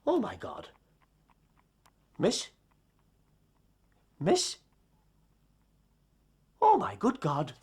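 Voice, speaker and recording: A man's voice: high voice, wildly swooping pitch, very slow, long pauses, darkish tone, slightly rough, exaggerated voice. An actor playing a kindly, talkative working-class policeman in a detective radio play, with a folksy manner. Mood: alarmed. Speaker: actor in an audio drama.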